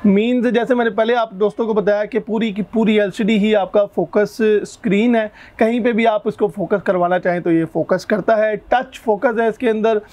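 A man with a high, hoarse voice walks through camera features in a steady, explanatory way, listing specs one after another. He sounds close and clear on a clip-on mic inside a shop. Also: moderate pace, few pauses. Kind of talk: explaining product specs and prices. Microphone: lapel mic.

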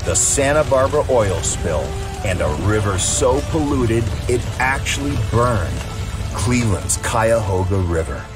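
A man's voice: lowish voice, very animated, unhurried. A man reading scripted captions as an announcer-like narrator. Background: music.

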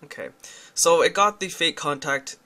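A young man reviews a phone app in a casual, explanatory way. His voice is bright and forward, and he speaks candidly as he walks through each step. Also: a little high, normal speed, soft background music. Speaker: tech reviewer.

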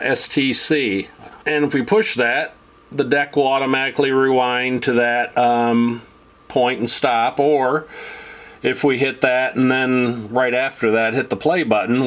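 A man giving a casual demo as a repairer, talking informally and thinking aloud with hesitations. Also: mid-range voice. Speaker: vintage audio repairer and presenter. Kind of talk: casual explanatory demo commentary.

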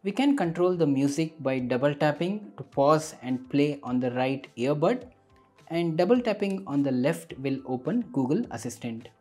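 A man narrates a tech product review in a mellow, slightly rough voice. His delivery is that of a scripted explainer. Faint music plays behind him. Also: a little high, animated, moderate pace.

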